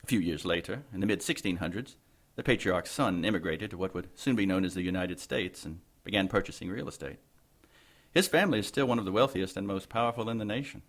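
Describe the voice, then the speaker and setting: A man playing an older, formal and deferential corrupt bishop in an audio drama, with measured diction. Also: deep voice. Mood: grave, measured.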